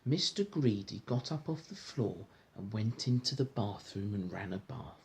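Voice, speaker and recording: A man reading a storybook aloud to a child in an informal, encouraging, gentle way, with chatty asides. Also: on the low side, expressive, moderate pace, husky. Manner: encouraging, gentle, echoes the child's words back. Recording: home recording with faint background noise.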